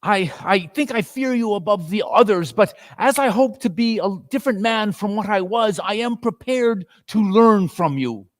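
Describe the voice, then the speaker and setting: Man playing humbled old miser, high anxious voice, pleading earnestly, swooping intonation, dramatic storybook reading. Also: expressive, normal speed, hardly any pauses. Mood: fearful, earnest.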